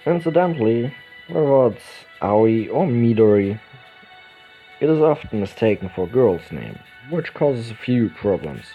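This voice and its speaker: Man, let's play reader, unhurried with lively intonation, stumbles now and then. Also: mid-range voice. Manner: reads narration slowly with small stumbles and restarts. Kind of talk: reading a visual novel's text aloud. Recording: dull lo-fi recording with game music behind.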